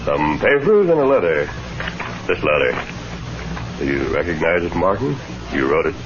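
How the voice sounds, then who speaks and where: An actor playing a bold, calm masked lawman in a radio Western, with measured, authoritative, formal diction. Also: low-pitched, dull audio. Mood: calm, firm. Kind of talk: old-time radio Western acting. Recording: noisy band-limited old radio recording.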